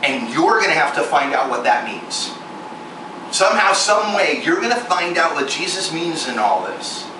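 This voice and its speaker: A man's voice: very animated, normal speed, recorded from a distance. Candid man preaching in an echoey hall. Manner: emphatic, candid, self-mocking, with sudden pitch jumps. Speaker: ministry performer speaking to a congregation. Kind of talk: personal testimony sermon. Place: large echoey venue room with air conditioning hum. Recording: echoey room recorded from afar with loud air-conditioner hiss.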